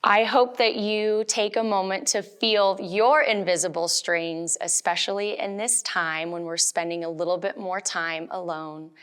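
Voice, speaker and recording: A woman reading a picture book aloud to young children, gently and with little questions to them as she goes. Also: fairly low pitch, fairly even intonation, a little slow, hardly any pauses, clear, resonant tone. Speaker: storytime reader.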